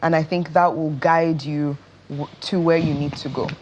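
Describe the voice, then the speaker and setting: Female interview guest, Nigerian accent, low voice, earnest and deliberate delivery. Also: steady tone, a little mellow, lo-fi sound, a little hiss or hum. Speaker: interview guest, stage performer. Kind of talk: television interview.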